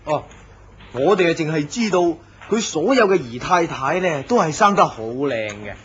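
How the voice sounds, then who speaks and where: A man's voice: on the high side, big pitch swings, moderate pace, husky, full character voice. A man voicing a chatty, gossiping office worker in a radio drama. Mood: gossipy. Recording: muffled lo-fi recording with loud hiss.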